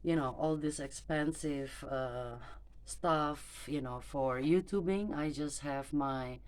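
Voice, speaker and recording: Halting, slow-talking non-native woman. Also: low voice. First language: neither English nor German. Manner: halting, hesitant, many fillers and restarts. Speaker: mother vlogger. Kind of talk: personal vlog monologue. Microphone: phone camera.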